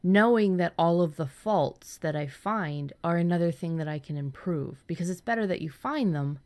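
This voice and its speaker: A woman's voice: lowish voice, lively intonation, normal speed, a little mellow. A woman hosting a casual writing podcast, talking candidly and enthusiastically, with some casual swearing. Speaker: podcast host and fiction writer.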